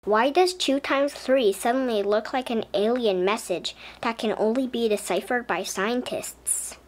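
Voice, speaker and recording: A woman reading a children's story aloud in an expressive, deliberate storybook style. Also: a little high. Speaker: children's book reader. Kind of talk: expressive scripted storybook narration as a young boy.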